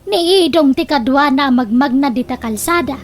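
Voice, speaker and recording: High-voiced female actor as gossipy village aunt, Ilocano accent, comedy radio drama, faint noise behind. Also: moderate pace, lightly acted. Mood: curious, gossipy. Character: chatty, nosy village auntie in a comedy.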